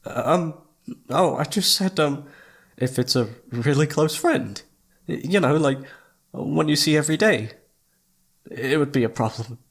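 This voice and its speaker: A man playing a shy, flustered young incubus with a husky, slightly creaky voice, stammering and hesitant in a romantic ASMR audio roleplay. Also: fairly high pitch, a little slow, pauses often. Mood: nervous, evasive. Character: shy, flustered young male incubus roommate confessing his crush.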